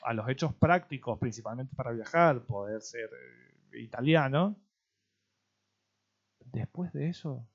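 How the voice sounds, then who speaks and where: Halting man, muffled sound. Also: mid-range voice, animated. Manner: halting, thinking aloud. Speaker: podcast co-host. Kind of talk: casual conversational chat.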